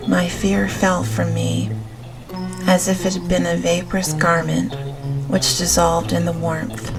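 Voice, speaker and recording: Slow, gentle woman reading a gothic audiobook. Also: on the low side, poor audio. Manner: gentle, unhurried, measured reading. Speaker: audiobook narrator. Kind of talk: scripted audiobook narration of a gothic novel.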